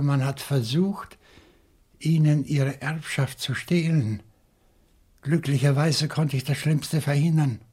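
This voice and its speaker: A shrewd professor sleuth in a radio crime drama. His voice is husky and sits a little high for a man. He builds his case slowly and theatrically, with an indignant edge. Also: a little mellow. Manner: deliberate, theatrical, reasoning step by step. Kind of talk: German radio crime play acting.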